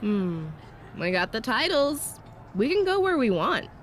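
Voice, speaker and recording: Young female tag wrestler, high and lively, unhurried, cocky, playful, video game. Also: a little hiss or hum. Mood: playful, excited. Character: eager, cocky young woman wrestler, the excitable tag partner. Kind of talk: scripted video game cutscene acting.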